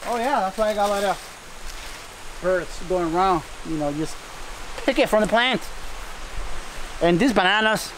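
A middle-aged Costa Rican man with a high voice and native Spanish shows people around his farm. He talks casually in simple phrases, repeating words, with faint outdoor noise around him. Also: measured pace, pauses often, fairly bright tone, low-quality recording. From Costa Rica. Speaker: farmer showing his homestead. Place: outdoors in a tropical food forest.

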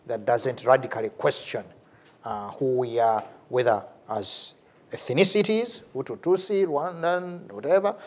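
A middle-aged man with a Ugandan accent, speaking off the cuff as a lecturer, animated and repeating words for emphasis. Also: mid-range voice. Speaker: lecturer, theology professor and priest. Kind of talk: lecture with off-the-cuff answer to a question. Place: large university lecture hall, at a lectern.

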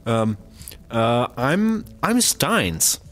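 A man playing an awkward, hesitant cop in a comedy audio drama, speaking in an uneasy, halting way. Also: mid-range voice, big pitch swings, a bit bright, heavily acted. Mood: nervous bluffing. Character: awkward, hesitant male cop bluffing his way along.

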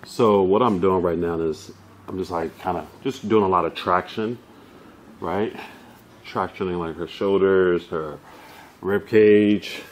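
A man's voice: deep voice, unhurried. A man in his forties, a bodywork therapist giving short breathing cues and casual explanations in a treatment studio. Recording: clearly noisy room.

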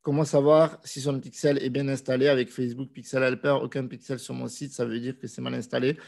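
A man's voice: medium pitch, a little fast, creaky. Man with a cold hosting a live Q&A. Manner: relaxed, conversational, with frequent hesitations. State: has a cold. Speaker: host answering viewer questions. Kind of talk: casual live Q&A. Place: small indoor room. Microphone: desk microphone on video call.

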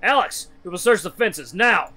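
A man's voice: high-pitched, lively intonation, moderate pace, sharp tone, pitched above the performer's own voice, full character voice. A man voicing a stern male hound warrior in a story, speaking formally in clipped commands. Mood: alarmed, commanding. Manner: formal, clipped, imperative speech without contractions.

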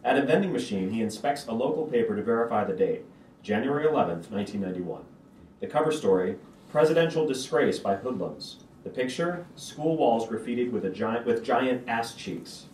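A man's voice: mid-range voice, animated, a little fast, darkish tone. A man reading screenplay stage directions aloud as narrator at a table read. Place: stage of a small auditorium. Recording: roomy auditorium, distant mic, background noise.

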